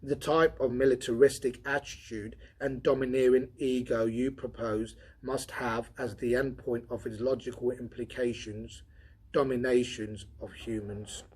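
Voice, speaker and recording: Man reading as a brusque, logical technician, slightly husky. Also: mid-range voice, flat delivery, measured pace, darkish tone, rough recording. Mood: dismissive. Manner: dry, methodical, impatient. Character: brusque, logical, skeptical male senior robot field technician. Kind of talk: audiobook character dialogue.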